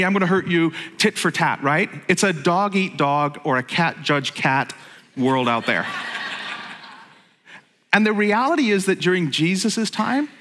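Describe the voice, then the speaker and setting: A man's voice: high-pitched, fairly bright tone, long reverb tail. A middle-aged man from Northern Ireland preaching a sermon in a joking, conversational way that keeps breaking off into asides. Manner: jokey, conversational, self-interrupting. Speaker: pastor.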